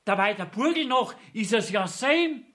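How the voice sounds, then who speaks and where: An actor playing an eager, gloating village court clerk in a radio play, with a rustic Bavarian accent and excitable manner. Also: male voice, high-pitched, normal speed. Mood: gleeful excitement. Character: male village court clerk, eager, gleefully watchful underling. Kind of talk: dialect radio play acting.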